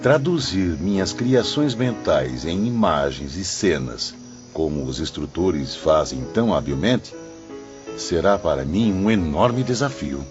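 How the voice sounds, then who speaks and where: A man's voice: low-pitched, animated, measured pace. A man playing a modest, humble and unsure pupil in an audiobook drama. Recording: dull lo-fi audio under music.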